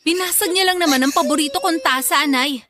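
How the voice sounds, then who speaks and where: A resentful young woman in a radio drama. Her voice is high, and she is scornful and cutting, defiant toward her elders. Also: quick pace, pushed and bright. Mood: irritated, accusing.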